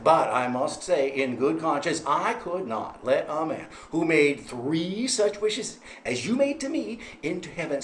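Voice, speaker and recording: A man voicing a saint at heaven's gate, formal and measured, politely apologetic. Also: fairly high pitch, echoey room, a little hiss or hum. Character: solemn old male saint at heaven's gate.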